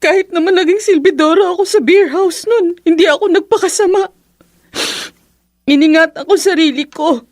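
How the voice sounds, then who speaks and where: An actress playing a devoted, long-suffering young wife in a Philippine radio drama, earnest and gentle, with a Filipino accent. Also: female voice, very high-pitched, normal speed, no room sound. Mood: hurt. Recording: dry studio with music underneath.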